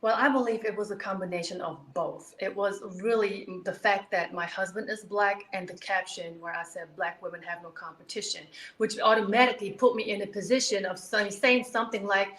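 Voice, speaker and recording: Bright-voiced woman in an echoey room. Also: mid-range voice, steady tone, a little fast, hardly any pauses. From Germany. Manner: earnest, explains at length with many "you know"s. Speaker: guest. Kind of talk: candid interview talk.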